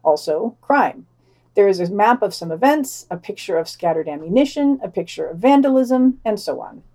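A woman's voice: high-pitched, dark tone. A woman reading a scripted podcast news digest, adding wry, sardonic commentary in a dry manner.

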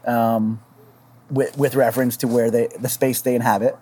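A man speaking as a podcast panelist, thinking aloud haltingly in casual discussion. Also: mid-range voice, level tone, dark tone, slightly rough.

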